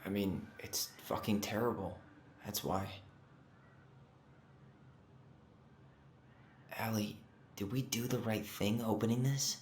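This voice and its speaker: A man's voice: on the low side, moderate pace, darkish tone, reverberant, a little hiss or hum, lightly acted. An actor playing a pensive, guarded young man in a video game, speaking in a subdued, hesitant, reflective way. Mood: upset. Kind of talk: naturalistic game cutscene dialogue.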